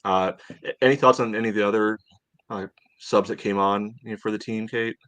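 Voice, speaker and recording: Male podcast co-host, slightly low voice, dry close recording, halting casual match breakdown full of verbal tics. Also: steady tone, fairly bright tone, no echo. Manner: halting, peppered with "you know". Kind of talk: casual soccer match analysis.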